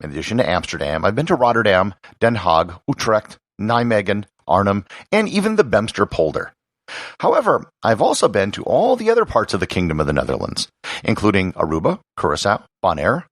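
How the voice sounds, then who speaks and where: A low-voiced American man reads scripted educational narration as a podcast host. His voice is husky and gravelly, and his pitch moves very widely as he lands key words with deliberate emphasis. The sound is close and dry. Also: very animated, a bit bright, dry recording. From Wisconsin, United States.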